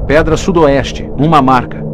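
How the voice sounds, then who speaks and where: A Brazilian man playing a determined, wry investigator in a dubbed video game, with loud background noise. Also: a little high, fairly even intonation, a little slow, lightly acted.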